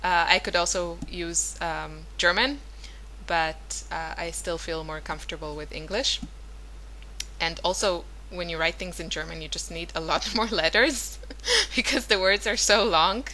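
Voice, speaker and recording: Hebrew-speaking woman in her 30s rambling about her craft, bright voice, lowish pitch, faint hiss behind. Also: fairly even intonation, a little slow, poor audio. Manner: chatty, rambling, many fillers and self-corrections. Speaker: scrapbooking vlogger. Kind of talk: casual voice-over narration of a craft process.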